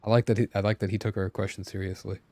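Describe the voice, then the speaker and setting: A man's voice: on the low side, level tone, very fast, warm tone, no echo. A man chatting casually as a game streamer, playful, often repeating phrases as he thinks aloud. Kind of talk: casual live gameplay chat.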